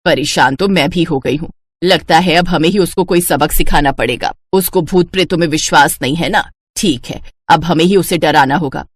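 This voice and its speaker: A woman voicing a stern, bossy middle-aged mother-in-law with a husky voice in a cartoon family story. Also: low-pitched, moderate pace. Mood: annoyed, scheming. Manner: bossy, scolding.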